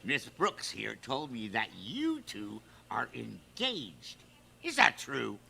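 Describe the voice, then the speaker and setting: A man's voice: medium pitch, wildly swooping pitch, gravelly, sharp tone, heavily acted. A man playing a folksy, nosy, suspicious fellow full of corny sayings in a vintage radio sitcom. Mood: jaunty, brash. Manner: drawn-out, stammering when surprised, folksy. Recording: noisy live raw event recording.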